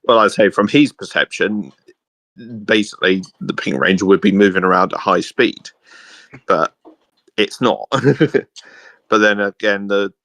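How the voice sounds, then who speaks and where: Male co-host, lowish voice, casual short halting remarks, very dry sound. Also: a bit bright, no room sound. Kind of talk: casual chat.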